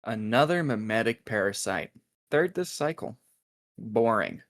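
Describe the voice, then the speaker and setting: A man's voice: lowish voice, lively intonation, measured pace. A man playing a jaded, sardonic sci-fi agency agent in a cartoon table read. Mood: bored, sardonic. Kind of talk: animated pilot table read.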